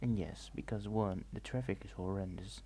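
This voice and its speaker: Soft-spoken man with a gravelly voice. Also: low-pitched, moderate pace. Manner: rambling, hesitant, mixes soft talk with whispers. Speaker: ASMR vlogger. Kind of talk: soft-spoken travel vlog narration. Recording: faint ambient noise.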